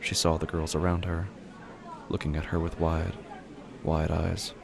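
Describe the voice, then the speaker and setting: A man narrating a scripted dramatic story in a slow, grave, hushed manner. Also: sits low, little pitch movement, creaky, a crowd in the background.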